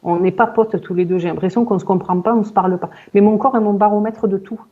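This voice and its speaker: A woman in her forties giving a halting personal account in conversation, searching for words and often repeating herself. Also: mid-range voice, steady tone, phone-quality sound. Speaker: client in a hypnosis session interview.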